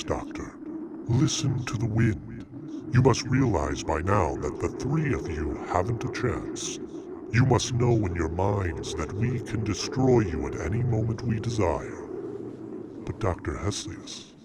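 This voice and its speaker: A man's voice: fairly low pitch, animated, slow, heavy background noise. A man playing a menacing, gloating supernatural shadow creature in old-time radio horror style, taunting and ominous.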